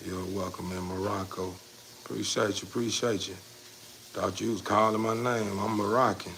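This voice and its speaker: Low male voice, American accent, little pitch movement, casual livestream rambling, folksy catchphrases, noisy ambient background. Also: fairly even intonation, normal speed, creaky, fairly bright tone. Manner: folksy, repetitive catchphrases, encouraging. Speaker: livestream host of a dog breeder channel.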